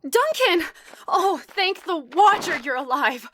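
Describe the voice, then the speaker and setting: An actress playing an eager, scholarly young elf mage, chatty and a little nervous, in an audio drama. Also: female voice, very high-pitched, very animated, slow pace, noisy background, full character voice. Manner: bubbly, nervous laughs, chatty.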